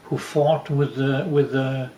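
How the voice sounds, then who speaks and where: An older man from Wales telling stories from his life casually, haltingly, repeating words as he recalls them. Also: medium pitch, fairly even intonation, crowd noise. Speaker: father telling family stories. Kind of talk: casual storytelling, reminiscing. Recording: roomy, echoey home recording.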